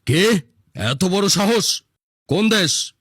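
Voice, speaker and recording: An arrogant cartoon tyrant, voiced by a man, barking orders in a slightly high male voice. He sneers and gloats, and the recording is close and dry. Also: animated, slow pace, a bit bright, breathy edge, dry recording, exaggerated voice. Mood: haughty, outraged. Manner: boastful, commanding, sneering. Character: arrogant, cruel tyrant leader gloating over his enemies. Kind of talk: dubbed cartoon villain dialogue.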